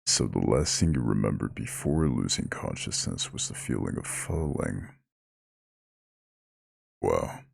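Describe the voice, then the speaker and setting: A man voicing an ancient, imposing eldritch god who is gently caring and proud, speaking in a slow, soothing, formal growl in an ASMR roleplay. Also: sing-song, dry recording, clean studio sound, full character voice. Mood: explaining, musing. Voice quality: very deep gravelly husky growl.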